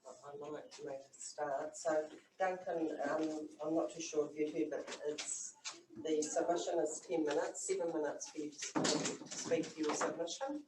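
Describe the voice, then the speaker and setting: A mayor with a New Zealand accent chairing a council hearing, explaining the procedure in a measured way. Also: medium pitch, fairly even intonation. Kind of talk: formal meeting chair. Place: council chamber. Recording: echoey room, distant mic, noisy.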